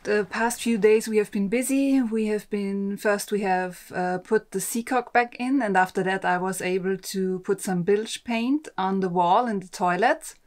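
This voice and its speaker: A woman in her thirties, a sailing vlogger, narrating a boat refit in a relaxed, plain way. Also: mid-range voice, measured pace, hardly any pauses. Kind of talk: voice-over narration and casual selfie talk. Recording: music clearly behind the voice.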